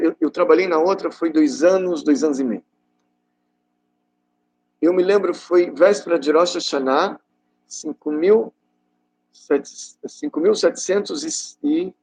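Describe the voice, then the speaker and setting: Middle-aged male rabbi, slightly high husky voice, informal teaching and storytelling with pauses and questions. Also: darkish tone, low-quality recording. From Rio de Janeiro, Brazil. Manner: conversational, halting storytelling with rhetorical questions. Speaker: rabbi giving a class. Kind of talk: informal religious talk with personal anecdotes.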